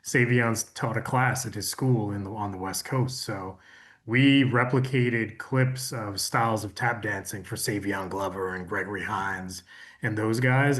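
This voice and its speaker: A man's voice: lowish voice, a bit bright. A man speaking as a producer on a panel, explaining things in a detailed, matter-of-fact way. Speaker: audiobook producer.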